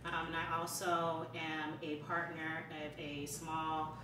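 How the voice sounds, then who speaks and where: A woman's voice: low-pitched, little pitch movement, slow, recorded from a distance, noisy background. A woman guest in a casual group talk in a living room, chatty and laughing. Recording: roomy, music loud behind.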